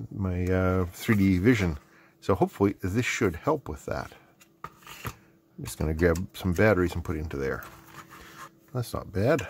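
A man's voice: sits low, slight background noise. A middle-aged man giving casual unboxing commentary, rambling and thinking aloud as he goes. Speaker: host. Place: indoor hobby workbench.

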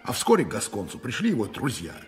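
A man's voice: on the low side, wildly swooping pitch, fast talker. A man playing a cardinal's dutiful, deferential henchman reporting in a radio drama, with dry disdain. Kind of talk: radio play acting. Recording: long hall reverb, faint noise.

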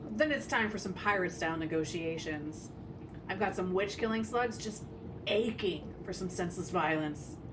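A woman's voice: lowish voice, normal speed, natural voice. A woman playing a sarcastic, tough, fiercely loyal punk gunslinger in an audio drama, brash in manner. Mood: eager, brash. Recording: distant mic, noisy background.

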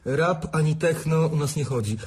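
A man's voice: on the high side, moderate pace, husky. An actor playing a strict, religious man who answers his brother in a dry, curt, disapproving way. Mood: stern. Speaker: brother working at a religious radio station. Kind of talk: amateur radio drama acting.

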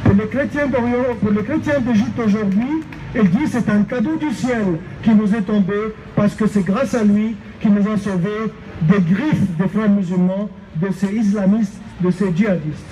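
An older man from Egypt giving an emphatic speech at an outdoor street rally into a handheld mic, often pausing on fillers. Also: high-pitched, noisy background. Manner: emphatic, hesitant with many fillers. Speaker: rally speaker. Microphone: handheld microphone.

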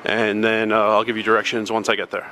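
A man's voice: fairly low pitch, monotone, fast, bright, forceful tone, rough recording. A man in his thirties giving casual step-by-step walking directions, filmed on a handheld camera held at arm's length. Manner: plain, instructive, pointing the way while walking. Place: indoor casino halls and an outdoor sidewalk by a highway. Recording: traffic noise behind.

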